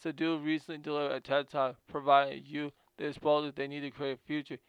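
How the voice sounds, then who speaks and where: Stumbling, halting man reading notes. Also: a little high, completely flat delivery, clear, resonant tone, no room sound, highs cut off. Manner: halting, stumbles and repeats words often. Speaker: video host reading about a guest. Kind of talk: casual commentary reading from notes.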